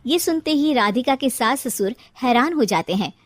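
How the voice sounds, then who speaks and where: A woman's voice: sits high, lively intonation, moderate pace, dry recording. A woman narrating a scripted cartoon family story in a storytelling style.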